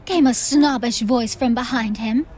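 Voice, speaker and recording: High-voiced woman narrating fantasy. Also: unhurried. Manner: theatrical storytelling. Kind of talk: scripted fantasy audiobook narration. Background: soft music.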